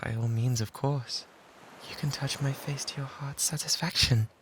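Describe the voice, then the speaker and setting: A man acts out a lovesick British pirate, utterly devoted and self-abasing, in an intimate ASMR roleplay. His mid-pitched voice pleads with breathy fervour close to the mic, over noticeable background noise. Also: fairly even intonation, moderate pace, fairly bright tone, close to an everyday voice. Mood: shy, devoted. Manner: fervent, breathy pleading that often drops toward whispers. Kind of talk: romantic ASMR roleplay acting. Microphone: close binaural microphone.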